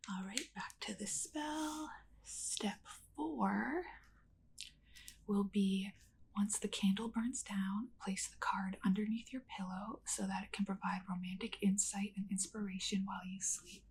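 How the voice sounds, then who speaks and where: A woman doing a whispered ASMR role play as a kindly witch, speaking gently and unhurriedly straight to the listener. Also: mid-range voice, steady tone, a little slow, gravelly, slight background noise. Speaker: ASMR creator playing a witch.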